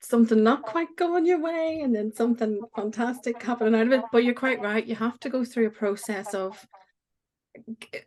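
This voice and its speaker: A woman's voice: fairly high pitch, normal speed, darkish tone. A woman hosting a podcast interview, talking in an enthusiastic, affirming way. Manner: enthusiastic, affirming, laughs often. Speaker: podcast host. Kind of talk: conversational interview.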